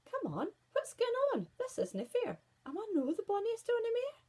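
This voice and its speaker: Woman putting on a shrill, vain Scottish fairy-tale Queen. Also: wildly swooping pitch, moderate pace, dark tone, full character voice. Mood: petulant, indignant. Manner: imperious, demanding.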